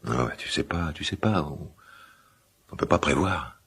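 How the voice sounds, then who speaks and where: An actor playing a middle-aged father in a radio drama, guilt-ridden and awkwardly tender, speaking hesitantly in a gravelly smoker's voice. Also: a bass voice, expressive, a bit bright, full character voice. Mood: pained, tender. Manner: hesitant, halting, confiding. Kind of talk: naturalistic French radio drama dialogue.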